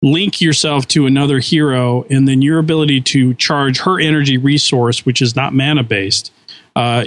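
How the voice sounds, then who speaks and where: A man's voice: mid-range voice, no echo. Man explaining game details, dry sound. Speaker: podcast guest. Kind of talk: casual podcast chat.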